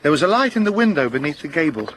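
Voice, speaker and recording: An actor playing a humble, earnest police sergeant in a radio drama, telling events deferentially and carefully. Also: male voice, fairly high pitch, very animated, fast talker, a bit bright, a little hiss or hum, low-quality recording.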